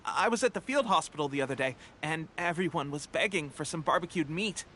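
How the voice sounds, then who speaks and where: An actor playing an eager, chatty, loyal young soldier in a video game, with a boyish, casual and expressive delivery. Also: male voice, on the high side, brisk, a little hiss or hum. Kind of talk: scripted video game dialogue.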